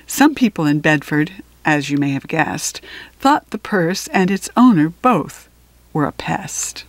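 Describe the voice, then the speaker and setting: A woman reading a children's picture book aloud with expressive phrasing and deliberate pauses. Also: deep voice, dry recording. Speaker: storybook reader.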